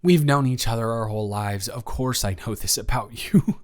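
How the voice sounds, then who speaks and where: A man's voice: mid-range voice, moderate pace, lightly acted. A man playing a young, teasing, easily flustered best friend in an improvised audio roleplay, joking and rambling with stammered restarts. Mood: rambling, curious. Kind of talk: improvised audio roleplay, casual one-sided conversation.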